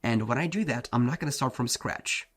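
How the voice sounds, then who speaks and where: A man's voice: mid-range voice, brisk, fairly bright tone. A man whose first language is Turkish, a self-improvement coach giving a blunt, slangy motivational talk, often saying "bro" and "like". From Turkey.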